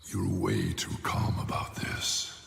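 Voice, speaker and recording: A man voicing an ancient giant fox spirit in a dubbed anime, with a gruff growl and a wry, resigned, weighty delivery. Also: slow pace. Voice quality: deep gravelly growl. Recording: cavernous reverb under loud music.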